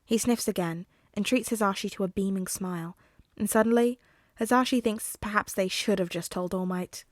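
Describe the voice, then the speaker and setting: A woman's voice: mid-range voice, normal speed, no echo, studio quality. A woman reading scripted fan fiction aloud as a story narrator.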